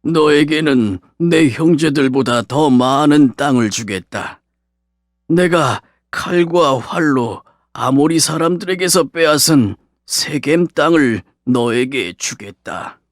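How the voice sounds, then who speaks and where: A man playing an aged, dying patriarch who speaks solemnly and deliberately to his sons in a dramatized scripture audiobook. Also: fairly high pitch, animated, no room sound, studio quality. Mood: gentle, resolute.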